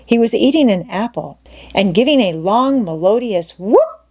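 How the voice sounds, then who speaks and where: Woman reading a storybook aloud, medium pitch. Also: very animated, moderate pace, mellow. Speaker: audiobook narrator. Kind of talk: scripted storybook narration.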